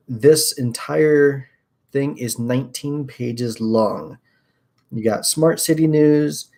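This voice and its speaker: A man's voice: medium pitch, darkish tone. A young man hosting a casual tech news livestream from a small room at his desk. He rambles upbeat with lots of fillers. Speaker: host.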